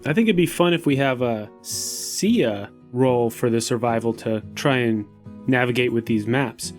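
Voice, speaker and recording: Mid-pitched man improvising at a game table. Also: measured pace, soft background music. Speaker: player in a tabletop role-playing game. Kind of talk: casual improvised game chat.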